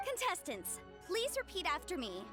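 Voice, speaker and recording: A showy female host of a cooking contest in a video game. The delivery is theatrical and high, swinging widely in pitch, over faint music. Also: huge pitch swings, moderate pace, heavily acted. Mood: showy, official. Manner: announcer-like, theatrical. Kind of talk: video game voice acting, live event commentary.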